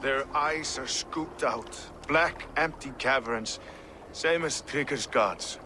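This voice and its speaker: A man's voice: mid-range voice, slow pace, background noise, lightly acted. An actor playing a blunt, crude-tongued dockside watchman.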